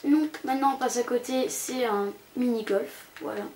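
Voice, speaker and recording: A woman's voice: a little high, moderate pace, a little mellow. A young woman giving a casual, rambling, hesitant commentary as she tours a video game. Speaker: gaming channel host. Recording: amateur home recording with background noise.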